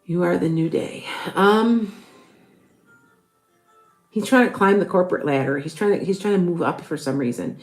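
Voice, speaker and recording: A woman's voice: deep voice, gravelly, reverberant. A woman with a Chicago accent, a tarot reader chatting loosely on a live stream and reading out viewers' questions as she rambles along. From Chicago area, with Wisconsin roots.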